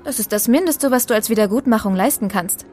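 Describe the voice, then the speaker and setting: An actress playing a feisty, sharp-tongued young woman in an audio drama, sassy and commanding. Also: a little high, a little fast, faint music behind, natural voice. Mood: bossy, teasing.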